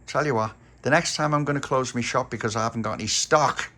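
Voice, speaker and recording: A man's voice: medium pitch, quick pace, sharp tone, pitched above the performer's own voice. A man voicing a chatty, joking shopkeeper. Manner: chatty, teasing, storytelling. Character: chatty joking male corner-shop keeper.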